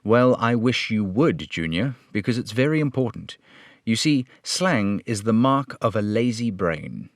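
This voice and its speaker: Guy doing a stiff by-the-book detective, lowish voice, preachy, dry close sound. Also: animated, no echo. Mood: lecturing. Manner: firm, lecturing, measured. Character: firm, upright police detective, adult man. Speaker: radio play reader. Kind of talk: old-time radio drama reading.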